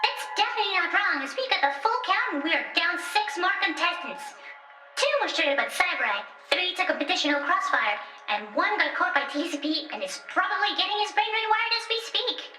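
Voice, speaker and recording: A very high, animated female voice hosts a cyberpunk game show with snarky glee. She is showy and flippant, echoing in an audio drama mix. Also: a little fast, slight background noise, full character voice. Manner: showy, flippant announcer delivery.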